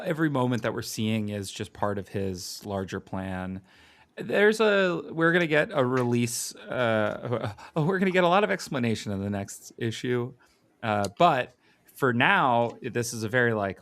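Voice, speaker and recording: A man's voice: medium pitch, expressive, measured pace, a bit bright, no room sound. A man co-hosting a podcast, casually recapping and commenting on a comic book. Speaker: podcast co-host.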